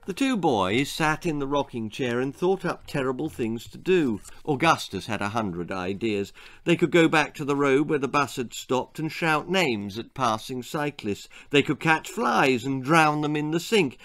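A man in his sixties reading a children's story aloud in an expressive, theatrical way. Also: mid-range voice, normal speed. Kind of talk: dramatic children's story narration. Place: small book-lined room indoors.

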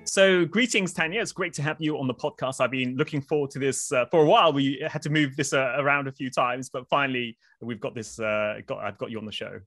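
A man's voice: medium pitch, brisk, few pauses, no room sound. A man hosting a finance podcast, reading a promotional intro and then opening an interview. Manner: lively, promotional delivery. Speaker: podcast host. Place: indoor room, plain wall. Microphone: video call.

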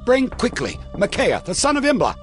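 A man's voice: high-pitched, wildly swooping pitch, normal speed, faint music behind. A man playing an imperious, resentful king in a dramatized audio Bible, speaking in a commanding, theatrical way.

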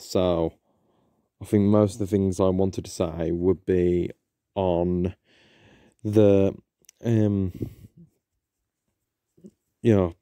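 Rambling young man, dark dry voice. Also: low-pitched, clear, resonant tone, no room sound. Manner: rambling, hesitant, many ums and restarts. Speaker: podcast host. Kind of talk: casual solo intro chat.

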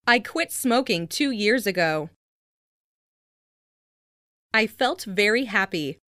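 A woman reads scripted English practice phrases in a clearly enunciated, instructional way. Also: mid-range voice, animated, takes it slowly, a bit bright, no echo, studio quality. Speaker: language lesson narrator.

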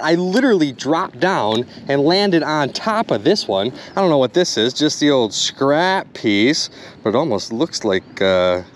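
A young man vlogging outdoors by a river, exclaiming excitedly to his viewers as he goes, recorded on a body-mounted action camera. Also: mid-range voice, a little hiss or hum. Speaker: magnet fishing vlogger. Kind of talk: casual excited vlog narration.

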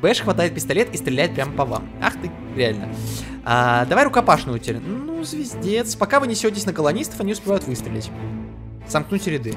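A man's voice: on the high side, very animated, brisk. A man hosting a let's play, reading the game text aloud and reacting in loose slang. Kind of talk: casual gameplay commentary. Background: game music.

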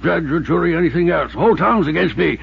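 A very hoarse, creaky old prospector in a 1950s radio drama, desperate and suspicious. He talks in clipped, folksy frontier phrases, pitched high, on a muffled old broadcast recording. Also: animated, quick pace, exaggerated voice. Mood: desperate, defensive. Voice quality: raspy, gravelly.

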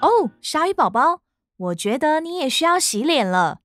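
A woman voicing a gentle, playful mother shark in a Taiwanese Mandarin children's cartoon, patient and coaxing. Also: high-pitched, sing-song, normal speed. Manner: sing-song, coaxing, patient. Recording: children's music behind voice.

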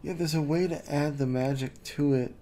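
A man's voice: fairly high pitch, moderate pace. A man giving casual, chatty commentary while playing a video game. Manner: relaxed, chatty, reacting to the game. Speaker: gameplay streamer.

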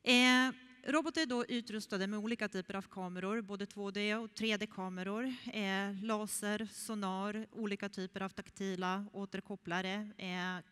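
A middle-aged woman, a professor, speaks unscripted from a big stage with a headset mic and fills her pauses with frequent "eh" sounds. Her voice sits at a mid pitch, and a long reverb hangs in the large hall. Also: a bit bright, clean tone. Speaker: robotics professor, keynote speaker. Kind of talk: keynote talk. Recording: echoey hall.

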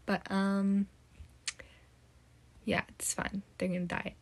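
A woman's voice: lowish voice, a bit bright. Chatty teen girl vlogging on her phone. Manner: chatty, rambling, lots of "like" fillers. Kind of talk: casual day-in-the-life vlog. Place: small bedroom and home, indoors. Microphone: phone camera held close.

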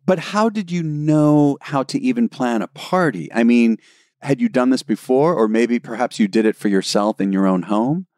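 A man's voice: mid-range voice, animated, a little mellow, dry recording, clean studio sound. A man hosting a podcast interview, reacting with enthusiasm and curiosity to his guest. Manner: enthusiastic, quick to react with "wow". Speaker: podcast host. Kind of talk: interview conversation.

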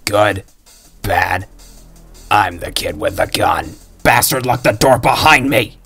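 Male actor as snarky ginger schoolboy, video game character, low-pitched, fry-laden voice, cocky, slangy, sardonic. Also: animated, slow pace, bright, forceful tone, faint music behind, full character voice. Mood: dry, snarky. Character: snarky, foul-mouthed ginger schoolboy gunslinger, cocky yet caring.

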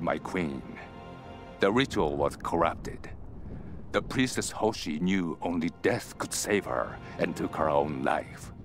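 Ancient game general, very deep, slow, grave, devoted, declamatory, faint music behind. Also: male voice, full character voice. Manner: solemn, formal, declamatory. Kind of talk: dramatic scripted game narration.

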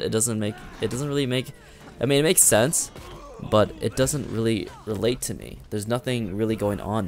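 A young man chatting casually over gameplay, rambling with fillers and restarts, his voice worn raspy. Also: lowish voice, a little mellow. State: raspy, strained voice. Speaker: gaming commentator. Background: faint background music.

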